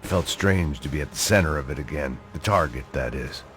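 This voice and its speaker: A man playing a bitter, hard-drinking middle-aged American ex-cop, delivering deadpan noir voice-over for a game. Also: very deep voice, fairly quick. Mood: bleak, wry. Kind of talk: noir scripted voice-over narration. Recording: music behind the voice.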